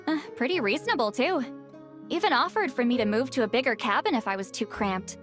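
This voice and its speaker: Woman acting a frank young crew member, very high voice, animated pitch, casual, reserved, video game dialogue. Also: fairly quick, faint music behind, lightly acted. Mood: casual, hesitant.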